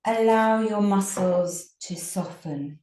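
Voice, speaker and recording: A woman teaching yoga nidra, guiding a relaxation meditation in a gentle, soothing, unhurried manner with long pauses. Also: mid-range voice, a little hiss or hum. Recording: roomy, echoing sound.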